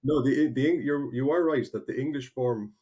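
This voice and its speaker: A man's voice: medium pitch, moderate pace, breathy edge. A man in his forties from North County Kildare with an Irish accent, a guest in a conversational interview who explains things eagerly, restarting often. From Ireland (North County Kildare). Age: 40s. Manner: animated, explanatory, with many restarts. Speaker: guest, translator.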